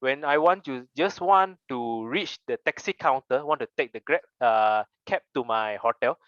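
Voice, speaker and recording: A man's voice: medium pitch, normal speed, a bit bright, no echo, highs cut off. A young man with a Malaysian English accent, giving an earnest prepared speech with personal stories and rhetorical questions. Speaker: club member giving a speech.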